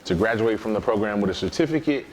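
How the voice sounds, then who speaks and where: Low-voiced man in an interview, faint ambience. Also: lively intonation, quick pace, a little mellow, slight background noise. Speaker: coffee business owner, interviewee. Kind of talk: conversational interview answers.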